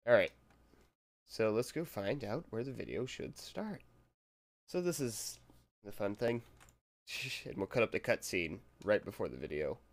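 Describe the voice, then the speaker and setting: A young man recording a casual software tutorial, talking offhand and a little hoarse from a cold. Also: on the low side, big pitch swings, a little slow, long pauses. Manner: rambling, offhand, chuckles to himself. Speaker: tutorial maker.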